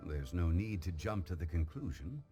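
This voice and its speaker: A man playing a learned, composed gentleman in a video game, speaking in a formal, measured, courteous way. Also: deep voice, expressive. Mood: thoughtful. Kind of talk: animated game cutscene dialogue. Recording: music behind the voice.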